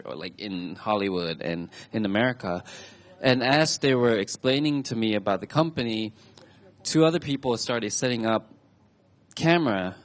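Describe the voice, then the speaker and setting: A young man in his twenties from Thailand speaks on a stage talk show, recounting his own story to the crowd in front of him. His voice sits a little low, and his talk is chatty and halting, full of restarts. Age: 20s. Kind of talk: personal storytelling to an audience.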